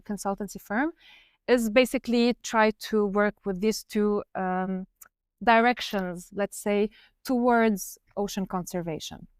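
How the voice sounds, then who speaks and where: Woman from Lebanon, 30s, podcast guest; smooth, clear voice, measured, dry close sound. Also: medium pitch, no room sound. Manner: explanatory, measured, with fillers. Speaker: guest researcher. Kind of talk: podcast interview.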